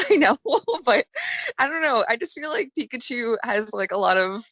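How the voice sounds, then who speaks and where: A woman's voice: a little high, expressive, unhurried, fairly bright tone, no room sound. A woman chatting casually as a podcast guest, in a playful and giggly manner. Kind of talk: casual chat about games and films.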